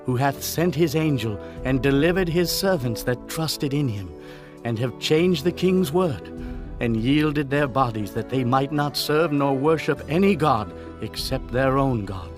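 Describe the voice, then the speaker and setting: A man's voice: mid-range voice, expressive, measured pace, natural voice. A man playing a proud, commanding ancient king in a dramatized scripture reading, declaiming in stately archaic English. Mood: proclaiming. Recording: music bed under the voice.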